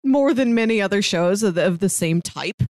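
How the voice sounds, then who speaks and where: A woman's voice: mid-range voice, animated, moderate pace, a little mellow, dry recording, clean studio sound. A woman co-hosting a casual review show, talking in an informal, conversational way. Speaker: podcast host.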